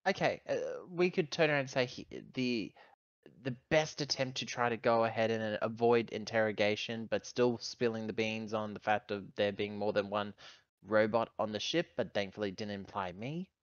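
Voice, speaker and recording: A man's voice: on the low side, fairly even intonation, normal speed, no room sound, highs cut off. A man talking casually and bluntly as a player in a tabletop game.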